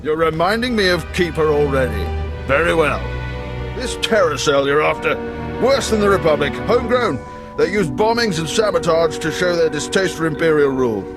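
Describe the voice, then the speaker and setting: An actor playing a wry, seasoned imperial station chief in a video game, speaking in a deliberate manner. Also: male voice, on the high side, expressive, over music, lightly acted. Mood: wry, scheming. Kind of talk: scripted video game dialogue.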